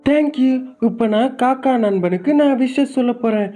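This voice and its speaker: A man voicing an earnest high-pitched pigeon. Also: moderate pace, mellow, soft background music. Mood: warm, friendly. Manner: earnest, well-wishing. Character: sincere, friendly pigeon.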